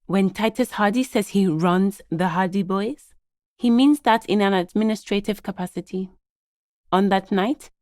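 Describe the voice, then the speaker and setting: A woman's voice: medium pitch, a little mellow, no echo, studio quality, close to an everyday voice. Woman acting stern, clipped lawyer in a game. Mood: firm, guarded. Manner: formal, clipped, authoritative, lawyerly. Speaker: video game character voice actor. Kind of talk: scripted game dialogue.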